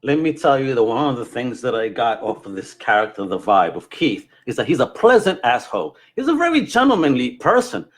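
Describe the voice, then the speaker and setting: Exuberant, very animated male podcast host. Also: mid-range voice, brisk, hardly any pauses. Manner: exuberant, slangy. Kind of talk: casual hype-filled chat.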